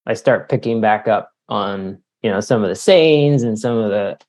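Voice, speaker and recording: A man's voice: lowish voice, normal speed, a little mellow. A man from Canada talking casually as an interviewed author, explaining things in a hesitant, wordy way.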